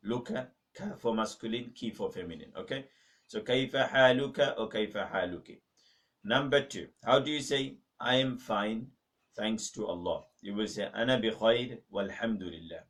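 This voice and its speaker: A man's voice: fairly low pitch, recorded from a distance. A man teaching a beginner Arabic lesson in a mosque, patiently repeating each phrase and checking with "okay?". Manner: patient, repeats phrases slowly with many okays. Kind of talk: live-streamed beginner language lesson. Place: mosque classroom.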